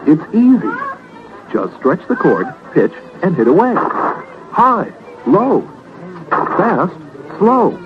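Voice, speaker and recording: A man pitching a children's sports toy in a scripted radio advertisement. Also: huge pitch swings, slow pace, pauses often. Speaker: commercial announcer. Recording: dull, noisy old radio recording.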